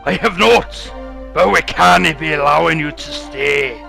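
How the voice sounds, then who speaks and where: A man voicing a stern, gruff dwarf guard with a Scottish accent and a menacing, theatrical manner. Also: fairly high pitch, measured pace, sharp tone, faint music behind, heavily acted. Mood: stern, defiant.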